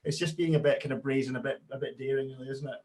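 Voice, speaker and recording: Quick friendly man. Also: medium pitch, fairly even intonation, a little mellow, low-quality recording. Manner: friendly, laughs easily, many fillers. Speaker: brand co-founder, marketing. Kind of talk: casual interview chat.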